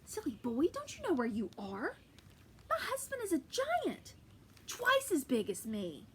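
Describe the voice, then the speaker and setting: A woman's voice: very high-pitched, huge pitch swings, a little hiss or hum, voice pushed up, heavily acted. Woman doing a bossy giant housewife. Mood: scolding. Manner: scolding, brisk.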